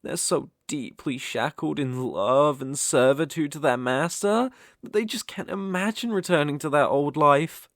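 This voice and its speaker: A British man voicing a possessive, smug dark elf master in an intimate ASMR roleplay, teasing and condescending as he coaxes. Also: a little high, measured pace, no echo, studio quality. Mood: smug, proud. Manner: teasing, condescending, coaxing, with soft chuckles.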